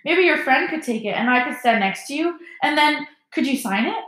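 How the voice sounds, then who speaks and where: A woman's voice: sits high, expressive, a little fast, fairly bright tone, echoey room, pitched above the performer's own voice, low-quality recording. A woman voicing a starstruck young schoolboy, breathless, eager and gushing, in a storybook reading. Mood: eager, excited.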